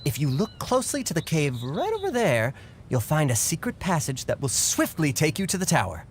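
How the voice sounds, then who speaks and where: Flustered, flirty cartoonish raven, wildly swooping pitch. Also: male voice, wildly swooping pitch, brisk, a little hiss or hum. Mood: pompous, helpful. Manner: stammering, fawning, overblown courtly speech. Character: flustered, flirtatious male talking raven, pompously formal. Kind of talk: theatrical video game character acting.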